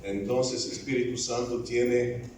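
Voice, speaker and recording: A man preaches a sermon in a church, his words slow, deliberate and a little halting, as if still finding his way in the language. His voice comes from some distance away and rings through a very echoey room, with faint ambient noise underneath. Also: mid-range voice, fairly even intonation, darkish tone. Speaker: evangelist preacher.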